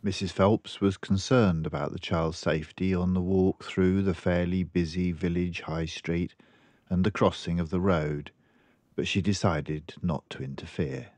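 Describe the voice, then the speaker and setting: An older man narrating a children's book expressively, with measured and deliberate phrasing. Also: deep voice, steady tone, darkish tone, no room sound. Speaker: storyteller.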